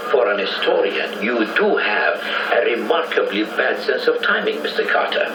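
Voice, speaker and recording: An actor playing a proud, bitterly sardonic ousted prime minister in a radio drama, speaking formally and pointedly. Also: male voice, on the low side, very animated, normal speed. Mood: dry, sardonic. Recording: noisy, echoey off-air radio copy, distant.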